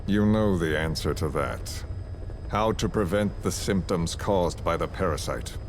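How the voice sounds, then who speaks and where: A male actor playing a cold, menacing mastermind in a video game, speaking in a measured, mock-reasonable way. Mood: cold coercion. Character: cold, menacing villain, calmly coercive mastermind. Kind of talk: dramatic video game voice acting. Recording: background rumble, secretly taped cassette scene.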